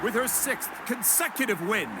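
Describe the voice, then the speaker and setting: A man's voice: very high-pitched, lively intonation, normal speed. A man playing a showy tournament announcer in a video game, hailing champions theatrically over music. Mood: proud, rousing. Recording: loud music behind the voice.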